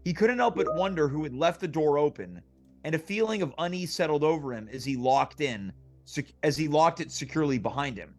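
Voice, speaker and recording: A man's voice: fairly high pitch, expressive, a little fast. A man narrating stage directions and action from a movie script at a comedic table read.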